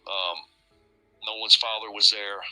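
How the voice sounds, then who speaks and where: Low, very bright American man recounting events. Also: flat delivery, measured pace, faint music behind, rough recording. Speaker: search team member interviewed as guest. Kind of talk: conversational interview storytelling.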